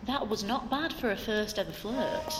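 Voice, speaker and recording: A woman's voice: mid-range voice, normal speed, natural voice. An actress voicing a cheeky, lusty inner voice in audio fiction, British, slangy and sweary as she eggs on a shy woman. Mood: gleeful. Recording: long reverb, music behind.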